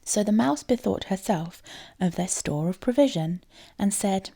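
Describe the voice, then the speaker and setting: A woman narrates a fairy tale in a storyteller's manner. Her pitch is average for a woman but moves in an animated way. The voice has a noticeable creak. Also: measured pace. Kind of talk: expressive fairy tale reading.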